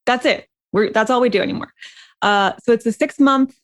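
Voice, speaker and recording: High, slightly raspy woman podcaster. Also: fairly quick. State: recovering from a cough, slightly raspy. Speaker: podcast co-host and coach. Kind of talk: casual chat between co-hosts.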